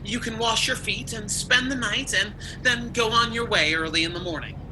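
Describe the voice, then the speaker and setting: A woman's voice: fairly high pitch, moderate pace, natural voice. A woman playing an anxious, humbly polite householder in a radio play, hesitant and deferential. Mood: anxiously polite. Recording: noisy home video-call recording.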